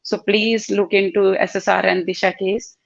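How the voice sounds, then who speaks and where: A woman pleads earnestly over a video call, her voice gravelly and kept flat in pitch. She pauses often and reaches for words. The audio is dry and dull. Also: no room sound. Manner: pleading, heartfelt, halting with fillers. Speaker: caller on live show.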